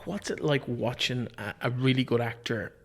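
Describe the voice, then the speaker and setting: Man with a mid-pitched voice, interviewing. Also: normal speed, slight background noise. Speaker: interviewer, host. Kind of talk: casual conversational interviewing.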